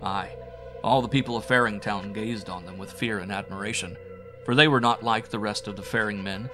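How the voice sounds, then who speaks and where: A man's voice: lowish voice, fairly even intonation, a little fast, low-quality recording. A man narrating a horror audiobook in a theatrical, brooding, old-fashioned storytelling manner. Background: music.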